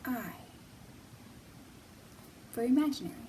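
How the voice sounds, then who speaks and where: Slow-paced woman teaching young children. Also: on the high side, animated, dark tone, recorded from a distance, slight background noise. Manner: simple, deliberate, teacherly phrasing with pauses. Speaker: children's library storytime host. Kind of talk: children's storytime lesson with questions to young viewers.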